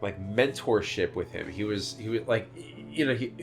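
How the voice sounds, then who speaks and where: Podcast host, male, nasal, lowish voice, casual rambling storyteller, faint guitar underneath. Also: lively intonation, moderate pace, gravelly. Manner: rambling storytelling with many fillers. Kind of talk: casual chat.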